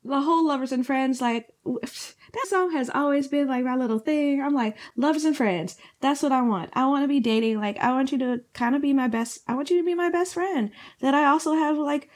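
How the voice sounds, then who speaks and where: High-voiced chatty woman, slightly hoarse. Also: fairly quick, hardly any pauses. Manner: laughing, chatty, lots of "like" fillers. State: slightly hoarse, losing her voice. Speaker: podcast co-host. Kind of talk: casual chat.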